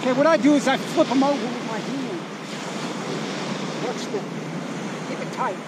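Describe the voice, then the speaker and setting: Loud wind and surf surround a man talking casually as he gives a running fishing commentary. He is recorded outdoors on an open beach, with waves breaking behind him. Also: extremely high pitch, normal speed. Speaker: fishing vlogger.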